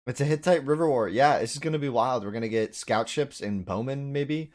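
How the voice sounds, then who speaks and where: A man hosts a live game stream with an ordinary mid-pitched voice. He commentates casually, addressing his viewers like buddies. His delivery is informal and conversational. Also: brisk, a little mellow. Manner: chatty, informal, addresses chat as dude and buddy.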